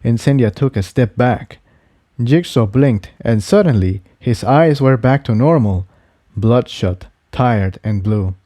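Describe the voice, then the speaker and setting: A man narrates scripted fantasy fiction. His voice is medium in pitch and rather mellow, and he delivers the prose as a storyteller. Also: lively intonation, slow, no room sound, clean studio sound. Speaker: fan fiction reader.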